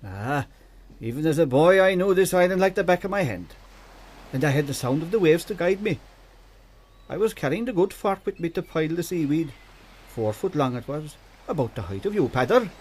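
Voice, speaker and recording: High-voiced old Irish island storyteller. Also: male voice, lively intonation, a little fast, darkish tone, breathy edge. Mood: animated storytelling. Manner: folksy, teasing, vivid narrator. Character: genial old island storyteller, male, spinning yarns for children. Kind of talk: radio drama storytelling.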